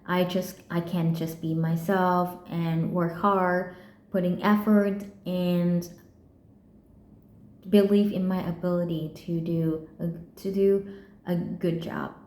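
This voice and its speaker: A young Vietnamese woman with a Vietnamese accent talks slowly and thoughtfully in a personal vlog. Her voice is low and mellow, soft-spoken, with frequent halting restarts. The room around her sounds echoey. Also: level tone. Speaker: vlogger.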